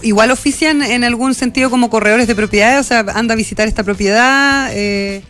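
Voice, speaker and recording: A radio host interviewing a guest in the studio, asking brisk questions and giving short acknowledgements. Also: medium pitch, a bit bright, soft background music.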